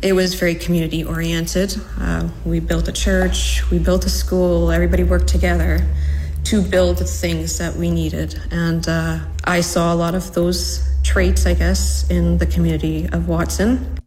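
A woman's voice: fairly low pitch, level tone, unhurried, a little mellow, a little hiss or hum, rough recording. A woman from Trinidad speaking reflectively in an interview, with frequent fillers. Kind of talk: interview clip.

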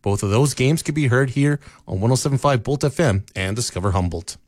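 A man reading scripted local news in a steady newsreader manner, from a radio studio. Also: medium pitch, fairly quick, no echo. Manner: steady, even newsreader delivery. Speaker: radio newscaster.

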